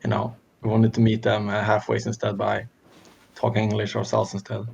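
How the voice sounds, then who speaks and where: A young man with a Swedish accent co-hosting a casual podcast, laughing often and pausing on fillers. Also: fairly low pitch, monotone, vocal fry, a little mellow, slightly rough, dull audio. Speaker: podcast co-host. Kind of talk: casual chat.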